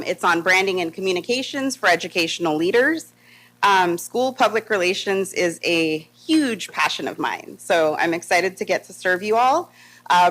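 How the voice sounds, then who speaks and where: A woman's voice: medium pitch, a bit bright. Brief: woman in her thirties, polished presenter, upbeat and friendly, room mic at a lectern. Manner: upbeat, friendly, with light laughs. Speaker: communications consultant presenting. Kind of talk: formal presentation to a board. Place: meeting room.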